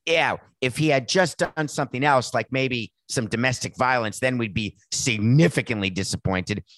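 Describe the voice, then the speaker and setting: A man hosting a sports podcast, with a raspy voice and a sardonic, mocking way of talking. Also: mid-range voice, moderate pace, a bit bright, no echo. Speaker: podcast host. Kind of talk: sarcastic sports commentary.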